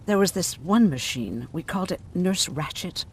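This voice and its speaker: Blunt weary survivor in a game, highish voice swinging up and down, faint rumble behind. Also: male voice, lively intonation, moderate pace. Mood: grave recounting. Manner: blunt, sardonic. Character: blunt, irritable camp survivor, a man weary and sardonic. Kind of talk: video game voice acting.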